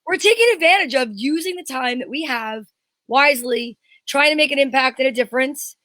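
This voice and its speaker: A woman's voice: high-pitched, fairly quick, sharp tone, no room sound. A woman chatting casually and enthusiastically as co-host of a live online show.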